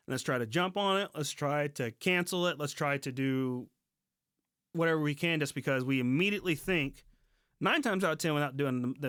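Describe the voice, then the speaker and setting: Higher-pitched American man, casual podcast host. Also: fairly bright tone, no room sound, studio quality. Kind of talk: casual music podcast chat.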